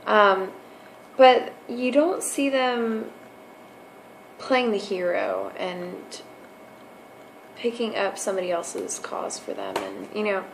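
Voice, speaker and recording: A hoarse young woman muses aloud in a casual vlog, thinking as she talks and circling back with many ums and restarts. Her pitch sits in the middle of the female range, with faint noise behind her. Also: animated, takes it slowly, pauses often, rough recording. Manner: musing, lots of fillers and restarts. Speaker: vlogger. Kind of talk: casual explanatory monologue.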